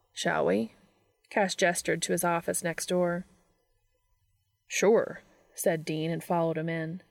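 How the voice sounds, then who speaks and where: A woman's voice: on the low side, vocal fry, dry recording, clean studio sound. A woman reading a story aloud as a scripted audiobook narration. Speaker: fan fiction reader.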